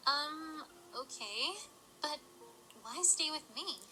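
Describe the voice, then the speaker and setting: A woman acting a high, bright studious pony librarian. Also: animated, takes it slowly, exaggerated voice, rough recording. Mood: questioning. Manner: precise, questioning. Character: inquisitive, studious young female pony librarian. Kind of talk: amateur audio drama acting. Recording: faint music behind.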